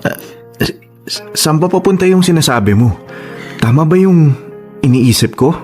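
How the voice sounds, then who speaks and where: An actor playing an eager, devoted, cocky young boyfriend with a Filipino accent in a radio drama. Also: male voice, fairly high pitch, normal speed, soft background music, natural voice. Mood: excited disbelief. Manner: excitable, questioning, stammering.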